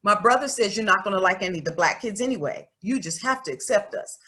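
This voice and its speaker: A woman's voice: mid-range voice, lively intonation, quick pace, pushed and bright. A woman voicing an outspoken, stubborn young schoolgirl in a storybook, reading her letters aloud in a candid, folksy, emphatic way. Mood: candid, chatty. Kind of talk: expressive storybook read-aloud in first person.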